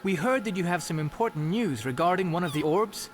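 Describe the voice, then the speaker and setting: Earnest young game hero: fairly high-pitched voice, determined and sincere. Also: male voice, expressive, a little fast, soft background music. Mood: polite, businesslike. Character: resolute, earnest young male fantasy hero. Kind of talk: video game character acting.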